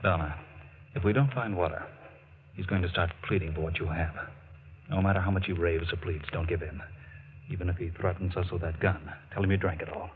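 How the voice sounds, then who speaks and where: Old-time radio leading man for a male actor: stern, dependable, deeper voice, commanding, slightly noisy archive sound. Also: fairly even intonation, a little fast, close to an everyday voice. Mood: grave, protective. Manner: firm, commanding, earnest. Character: steady, protective, stern hero stranded in wilderness. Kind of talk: 1940s radio drama acting. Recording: muffled, noisy 1940s radio recording.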